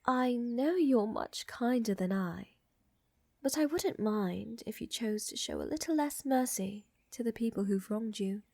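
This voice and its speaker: Woman acting as vampire countess, seductive and tender, slow measured delivery, breathy near-whisper, close dry microphone, intimate ASMR roleplay. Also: fairly high pitch, animated, dry recording, heavily acted. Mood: flirtatious, teasing. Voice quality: soft, breathy, often whispering. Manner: slow, refined, affectionate, gently dominant.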